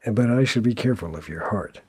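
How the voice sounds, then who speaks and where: Husky, deep-voiced noir private eye played by a man. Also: fairly quick. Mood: coolly probing. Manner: sardonic, deadpan. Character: wry, world-weary male hardboiled private detective. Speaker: narrator and lead character. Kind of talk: noir radio play, first-person narration.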